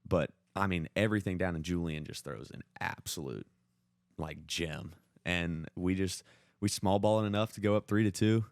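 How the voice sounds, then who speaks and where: Young man, low pitch, dry recording, podcast co-host, casual sports storytelling, slangy and halting phrasing. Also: creaky, dry recording. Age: young adult. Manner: informal, slangy, halting retelling.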